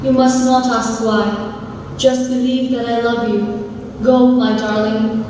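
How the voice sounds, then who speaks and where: A woman's voice: a little high, level tone, slow pace, darkish tone. A woman playing a tender, sorrowful lover saying farewell in a stage drama. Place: large hall at a school stage event. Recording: echoey hall from far off, faint noise.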